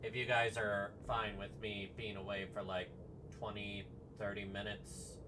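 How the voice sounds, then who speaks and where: A man's voice: lowish voice, flat delivery, unhurried, background noise. A tired man chatting casually to viewers as a livestreamer. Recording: distant mic, music behind.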